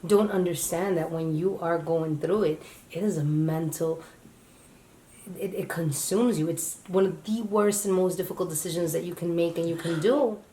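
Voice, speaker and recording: A woman co-hosting a casual chat, halting as she speaks, often restarting her sentences while she searches for words. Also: fairly low pitch, mellow, roomy sound, poor audio. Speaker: co-host.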